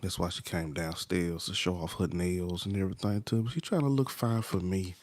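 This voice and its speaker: Laid-back deep-voiced man chatting late at night. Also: steady tone, fairly quick, a little mellow, no room sound. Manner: laid-back, talks to viewers by name, trails off. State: stuffy nose. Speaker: livestream host. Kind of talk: casual late-night livestream chat.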